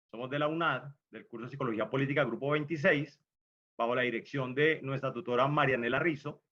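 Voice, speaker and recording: Formal Colombian male student moderator; bright, forceful tone, dull lo-fi recording. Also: mid-range voice. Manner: formal, leads the discussion and hands over turns. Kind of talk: group class presentation. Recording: dull lo-fi mic.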